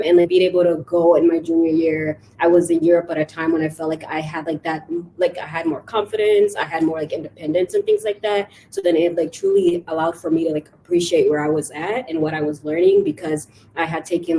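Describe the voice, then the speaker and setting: A soft-spoken young woman from Kenya, a student panelist, who fills her answers with "like.". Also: lowish voice, slight background noise, poor audio. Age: young adult. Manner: soft-spoken, heavy use of like.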